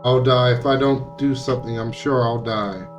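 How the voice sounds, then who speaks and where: A man's voice: medium pitch, fairly even intonation, normal speed, far from the mic. A man reading visual novel text aloud as dramatic narration, now and then stumbling over words and rereading them. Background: music.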